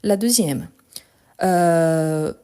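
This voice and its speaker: Mellow-voiced woman teacher. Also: on the low side, extremely slow, clean tone. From France and Brazil. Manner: didactic, repeats key points, insistent. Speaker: French teacher. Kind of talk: live online grammar lesson.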